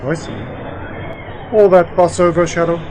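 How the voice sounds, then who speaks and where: A man's voice: steady tone, moderate pace, crowd noise, natural voice. A man acting a wry, skeptical sci-fi uncle, noisy recording. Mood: measured, dismissive. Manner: dry, eccentric, self-amused. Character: wry, skeptical uncle, a travelling scientist-adventurer. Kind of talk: amateur sci-fi radio play acting. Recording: noisy home-made radio play, vehicle-like rumble.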